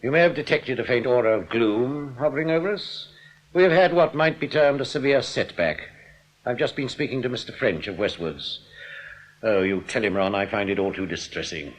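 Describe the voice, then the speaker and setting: An English actor playing a suave, scheming bank manager in a radio drama, wordy and full of oily, theatrical politeness. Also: male voice, medium pitch, moderate pace, lightly acted, low-quality recording. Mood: theatrically rueful. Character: suave, smug, scheming male bank manager, mock-gracious crook. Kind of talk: British radio drama acting.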